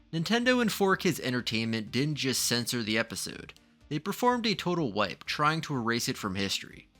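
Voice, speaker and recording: A man's voice: mid-range voice, bright, forceful tone. A man reading scripted documentary narration with dramatic, ominous emphasis.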